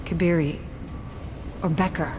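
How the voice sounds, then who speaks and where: A woman's voice: lowish voice, fairly even intonation, unhurried, background noise, natural voice. An actress playing a firm, direct woman administrator in command in a video game cutscene. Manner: direct, authoritative. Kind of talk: cinematic video game acting.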